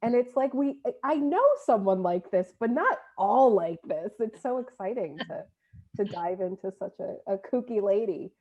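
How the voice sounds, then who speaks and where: Female interviewer and director, mid-pitched and mellow, informal conversational phrasing, slightly dull recording. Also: animated, moderate pace. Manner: informal, uses "like" often. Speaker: theatre director and producer, interviewer. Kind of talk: casual panel conversation, posing questions.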